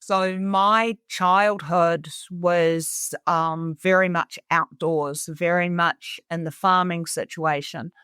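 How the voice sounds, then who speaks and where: A woman's voice: lowish voice, fairly even intonation, slow pace, hardly any pauses, a bit bright, smooth, no room sound, studio quality. A middle-aged woman with a New Zealand accent, telling her own story conversationally as an interview guest. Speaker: interview guest, cabin resort owner.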